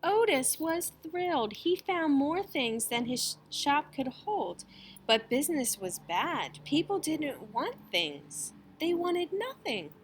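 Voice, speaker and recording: A woman reading a children's story aloud as an expressive storyteller. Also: high-pitched, slow, slight background noise.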